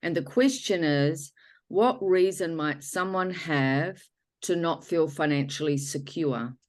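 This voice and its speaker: A woman's voice: low voice, takes it slowly. A woman speaking as a healer guest, leading a guided healing talk in a rambling, self-correcting way.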